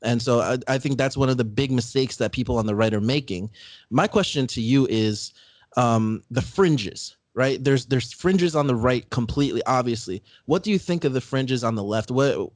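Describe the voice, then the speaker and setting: A man's voice: medium pitch, normal speed, a little mellow, dry recording, clean studio sound. A man hosting a podcast in a casual interview style, easing his way into questions. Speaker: podcast host.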